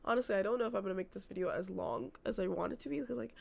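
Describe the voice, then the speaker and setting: A young woman vlogging from her apartment, losing her voice and hoarse, rambling casually with lots of fillers. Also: mid-range voice, very fast, darkish tone. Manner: rambling, filler-heavy, self-described yappy. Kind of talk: casual unscripted vlog chat.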